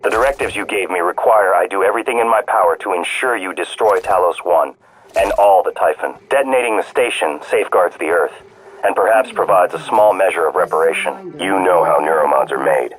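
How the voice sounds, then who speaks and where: Man voicing a game robot ai, really low voice, formal n solemn, bit of radio noise. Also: close to an everyday voice, low-quality recording. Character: resolute, self-sacrificing male artificial intelligence robot. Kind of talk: video game cutscene dialogue.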